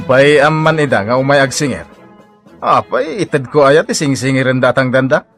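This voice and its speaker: Sneaky greedy contractor in a radio comedy, wheedling, voice swings all over. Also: male voice, medium pitch, expressive, measured pace, fairly bright tone, faint music behind. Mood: unrepentant. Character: crafty, greedy married male building contractor who dodges debts. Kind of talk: comedy radio drama acting.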